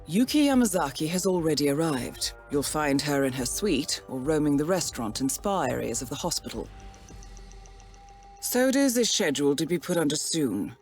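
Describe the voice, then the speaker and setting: Composed woman handler, expressive pitch. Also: soft background music. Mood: composed briefing. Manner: measured, formal, articulate. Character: composed, steely female intelligence-agency handler, guarded and defiant. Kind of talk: video game briefing and cinematic dialogue.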